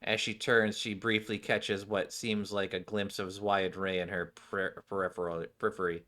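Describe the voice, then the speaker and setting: A man's voice: lowish voice, flat delivery, moderate pace, sharp tone. A man streaming a game, narrating story scenes and muttering his own casual asides about what he sees. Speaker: game streamer reading out cutscene dialogue. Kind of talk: game commentary and expressive dialogue reading.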